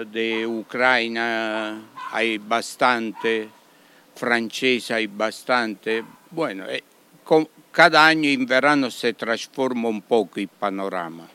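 A man with an Italian accent, a restaurant owner speaking Spanish in a radio interview, halting and slipping into Italian words. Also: mid-range voice, sharp tone, clear, resonant tone. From Italy.

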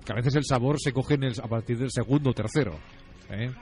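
A man's voice: medium pitch, fast talker, darkish tone. A man hosting a radio show, chatting and joking playfully with guests in the studio. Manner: bantering, playful, laughs often. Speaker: radio host. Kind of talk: casual radio chat.

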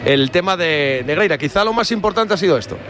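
A man with a Spanish accent from Spain hosting live sports talk, musing haltingly and stretching out words. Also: high voice, expressive, fairly bright tone, a little hiss or hum. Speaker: sports radio host.

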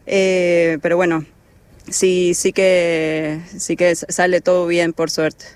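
A woman's voice: on the low side, little pitch movement, slow, darkish tone, clear, resonant tone. A woman from Argentina with an Argentine Spanish accent, a lifeguard coordinator answering interview questions hesitantly, with many fillers and restarts.

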